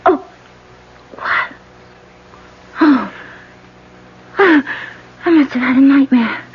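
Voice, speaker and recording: An actress playing a young woman politician who hides a murderous past and talks in a halting, stammering, fearful way. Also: sits high. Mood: nervous. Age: young adult. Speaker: actor. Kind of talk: 1970s radio drama acting. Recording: dull old radio broadcast.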